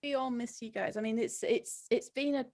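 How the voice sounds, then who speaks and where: A woman with a fairly high voice hosts a convention Q&A over a video call. She talks in a relaxed way, in short, chatty phrases. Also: normal speed. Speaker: convention host. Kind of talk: casual chat in a video call Q&A.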